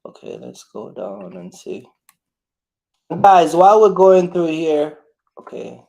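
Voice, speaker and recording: Higher-pitched man with heavy creak, livestreaming. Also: very animated, dark tone. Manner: repetitive, halting, often addresses audience. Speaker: livestream host. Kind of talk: casual live Q&A chat.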